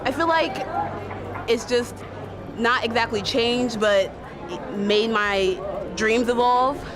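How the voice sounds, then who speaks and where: A young woman from Ohio, a recent high school graduate, giving a thoughtful testimonial. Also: takes it slowly. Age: young adult. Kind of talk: reflective testimonial. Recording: crowd chatter and music behind.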